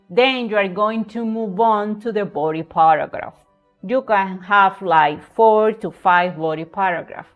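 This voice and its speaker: Spanish-accented woman teaching essay writing, mid-pitched voice, keeps saying okay? and right?, soft music in the back. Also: muffled recording. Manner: repeats words, frequent "okay?" and "right?" checks. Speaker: writing tutor. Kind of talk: casual tutorial explaining essay writing.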